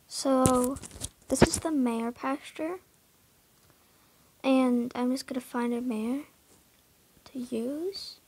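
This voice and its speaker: A woman's voice: high-pitched, takes it slowly, pauses often, darkish tone, a little hiss or hum, rough recording. A young woman casually talking through her horse barn in a game, hesitant, full of fillers and often dropping into a whisper.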